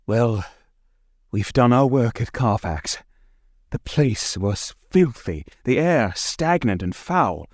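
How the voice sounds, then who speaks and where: A man's voice: mid-range voice, a little mellow, dry recording, studio quality. An actor playing an earnest young husband, dramatically reading his vampire-hunting journal in clipped phrases for a radio play.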